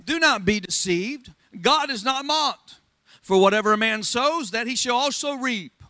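A very high, piercing male voice with a Southern accent, preaching with fervor and constantly calling on the congregation to answer back. The sound is dry and close, with no room echo. He is a pastor from Florida in full sermon mode. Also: pushed and bright. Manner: call-and-response preaching, asks the congregation for amens. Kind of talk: Pentecostal sermon.

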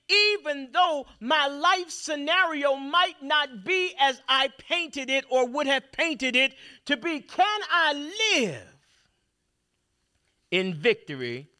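A man preaching a sermon in a church, with dramatic swings between loud outbursts and quiet, drawn-out phrases. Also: extremely high pitch. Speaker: pastor. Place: church sanctuary.

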